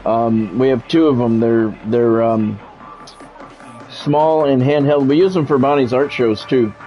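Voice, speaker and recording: A man's voice: medium pitch, unhurried, muffled recording, soft background music. A middle-aged man doing live football game commentary mixed with casual chat, talking in a blunt, profane, conversational way. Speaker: game streamer and play-by-play commentator.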